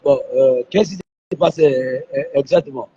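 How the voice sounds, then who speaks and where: Man, host, hoarse with flu, dark husky tone, muffled phone sound. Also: on the high side, moderate pace, dry recording. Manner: talks to viewers, repeats himself. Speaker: live stream host. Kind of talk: live phone interview. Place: airport.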